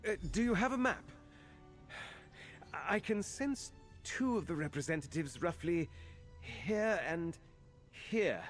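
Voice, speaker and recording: A man's voice: high-pitched, animated, slow pace, long pauses, faint music behind. An actor playing an anxious, fretful male mage's assistant in a video game, speaking earnestly. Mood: worried explaining. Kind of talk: scripted game character dialogue.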